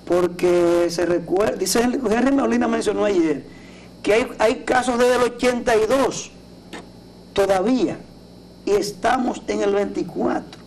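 Male co-host, middle-aged, Dominican accent, high voice, news commentary, miked from a distance with noise behind. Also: measured pace, a little mellow. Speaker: TV talk show co-host. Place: TV studio at a desk. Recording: distant mic with clear background noise.

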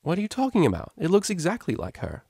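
A man's voice: sits high, wildly swooping pitch, fast, darkish tone, husky, no room sound, studio quality, pitched above the performer's own voice, exaggerated voice. A man voicing a brash, casual young female unicorn student and DJ, slangy, blunt and teasing. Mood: defensive.

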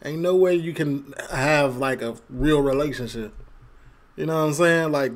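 A man's voice: a little high, normal speed. A young man with a Chicago accent giving casual, slangy reaction commentary on a video, laughing often. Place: indoor room at a desk.

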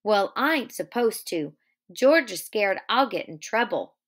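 A woman reading a novel aloud as a childlike, simple-minded, fearful male farmhand with halting rural speech. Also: medium pitch, sing-song. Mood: guarded, obedient.

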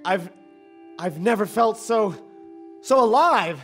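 Giddy nervous husband in an old radio play, high swoopy voice, stammers a lot. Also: male voice, expressive, slow, a bit bright, exaggerated voice. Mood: flustered. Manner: stammering, breathless exclamations. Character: nervous, excitable henpecked husband, giddy and lovestruck. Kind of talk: old-time radio drama acting. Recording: live radio play with music behind.

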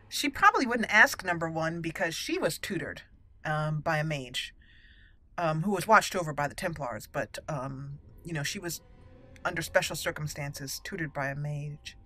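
A woman hosting a roleplay let's play, musing aloud and talking in character with deliberate, halting, dramatic emphasis. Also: low voice, expressive, sharp tone. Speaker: gaming channel host, roleplayer.

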